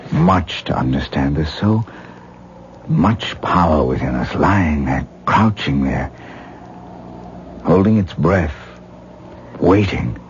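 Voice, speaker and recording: A man's voice: extremely low pitch, expressive, slow, pauses often, exaggerated voice. A male actor playing an obsessive, self-absorbed stage-actor husband in a 1970s radio drama, with a rapturous, theatrical, musing manner. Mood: rapt, soft. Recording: muffled, hissy 1970s radio recording.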